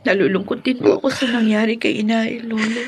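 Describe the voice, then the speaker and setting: A woman's voice: fairly high pitch, fairly even intonation, brisk, slightly rough. An actress playing a tender, emotional young woman, a loving granddaughter, in a Filipino radio drama. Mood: grieving, comforting. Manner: emotional, earnest, respectful.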